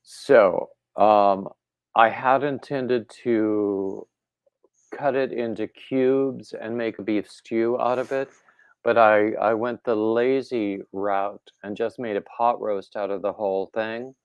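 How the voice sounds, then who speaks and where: Male stream host, unhurried and rambling, gravelly lower voice, a bit congested, dull home-mic sound. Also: dry recording. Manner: rambling, relaxed, reads viewer comments aloud. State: slightly congested throat. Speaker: livestream host. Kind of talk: casual chat with live viewers.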